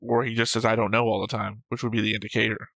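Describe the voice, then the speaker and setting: A man's voice: on the low side, level tone, quick pace, vocal fry, sharp tone, no echo. A man co-hosting a reaction show, chatting casually about a series in a flippant, argumentative way. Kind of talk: casual discussion of an anime series.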